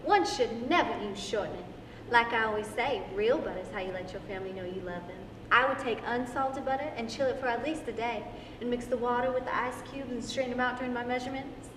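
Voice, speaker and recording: Student playing a prim housewife in an echoey hall. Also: female voice, a little high, a little fast, lightly acted. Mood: lecturing. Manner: folksy, lecturing. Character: prim, opinionated older Southern housewife, proudly traditional. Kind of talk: amateur stage play reading. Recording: echoey hall, distant mic, faint noise.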